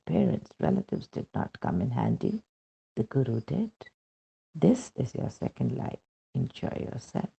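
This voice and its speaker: A man reading a scripted spiritual testimony in a gravelly, husky voice, with measured, expressive storytelling and emphatic phrase openings. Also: mid-range voice. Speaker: reader of devotional testimonials. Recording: dull, lo-fi voice recording.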